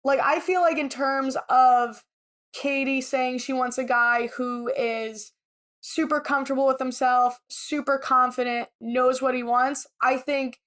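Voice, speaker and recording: High-voiced chatty woman. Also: fairly even intonation, measured pace, a bit bright. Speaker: podcast co-host. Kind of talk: casual chat, reality TV recap.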